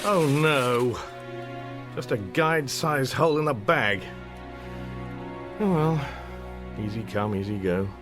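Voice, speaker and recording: A man's voice: mid-range voice, expressive, takes it slowly, long pauses, over music. An actor playing a mild, bemused man muttering wryly to himself in a radio drama monologue. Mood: wry, resigned. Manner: wry, musing, muttering to himself.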